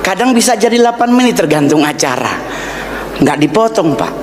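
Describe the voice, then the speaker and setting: A man's voice: high-pitched, big pitch swings, fairly quick. A man from North Sulawesi doing a teasing, self-mocking stand-up set at a formal event, addressing the guest of honor again and again. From Indonesia, North Sulawesi. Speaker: stand-up comedian. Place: large indoor event hall. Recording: echoey hall PA with noise.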